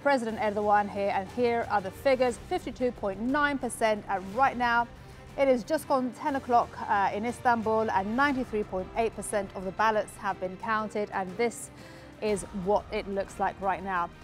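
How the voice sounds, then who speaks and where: A woman with a mid-range voice presents live election figures in a steady, informative delivery. Faint music plays underneath. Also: mid-range voice, moderate pace. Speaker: news presenter.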